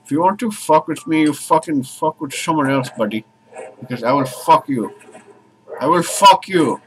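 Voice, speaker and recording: A man's voice: a little high, normal speed, a little hiss or hum, voice pushed down, lightly acted, rough recording. A man doing a brash, boastful character with a put-on Indian accent, swearing and mock-threatening in stilted phrasing. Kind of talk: crude improvised comedy bit.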